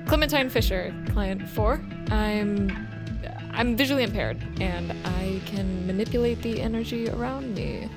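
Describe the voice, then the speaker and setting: Actress as spirited teen heroine, medium-pitched, emotional and natural, audio drama with music underneath. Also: female voice, unhurried, lightly acted. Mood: awkward, friendly. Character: spirited, emotional high-school girl with energy powers. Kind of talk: dramatic audio fiction acting.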